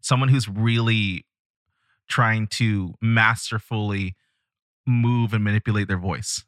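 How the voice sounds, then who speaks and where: A man with a lowish voice hosts a relaxed podcast conversation. He asks curious, rambling questions in an easygoing way. The sound is close and dry. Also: slow, no room sound. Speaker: podcast host.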